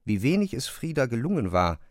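A man's voice: medium pitch, sing-song, a little fast, dry recording. Dry, close-sounding male literary narrator. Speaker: audiobook narrator.

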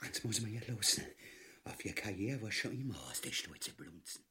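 Ein Schauspieler mit österreichischem Akzent spielt in einer grotesken Hörspielkomödie einen gefräßigen, feigen, vulgären Thronräuber, der derb und großspurig poltert. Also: male voice, lowish voice, animated, moderate pace, loud music behind the voice, lightly acted. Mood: whining, servile. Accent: Austrian. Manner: ranting, crude, bombastic. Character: gluttonous cowardly vulgar usurper Father Ubu. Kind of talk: grotesque comedy radio play acting.

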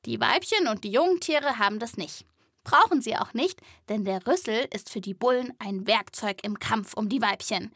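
High-voiced woman hosting a kids' podcast. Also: normal speed, a bit bright, no room sound, clean studio sound. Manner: colloquial, explaining to children. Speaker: children's podcast host. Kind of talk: scripted narration with playful dialogue.

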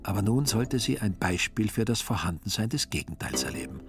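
Man, low voice, literary narration, radio drama, faint music behind. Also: big pitch swings, fairly quick.

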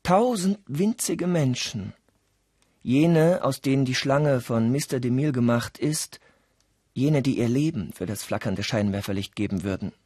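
A man narrating a German radio play as a dramatic storyteller with deliberate delivery. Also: mid-range voice, a little mellow, slightly rough, no room sound, studio quality. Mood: grave, dramatic. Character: dramatic storyteller of a dreamlike Hollywood disaster.

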